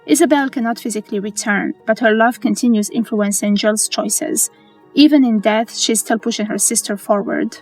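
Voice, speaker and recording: A woman reads scripted explainer narration in a measured, earnest way. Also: fairly high pitch, rough recording. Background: music.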